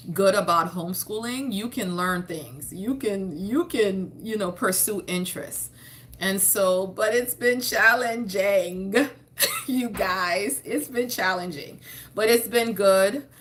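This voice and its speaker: A woman's voice: lowish voice, fairly bright tone, slight background noise. A woman in her forties, a homeschooling mother from Haiti whose first language was French, chatting casually to camera with frequent restarts. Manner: chatty, restarts and repeats words.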